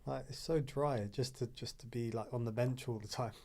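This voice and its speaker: A man's voice: medium pitch, fairly even intonation, moderate pace, creaky, mellow, slight background noise. A man, chatting in a laid-back way and backing others up often. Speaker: guest. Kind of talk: casual chat.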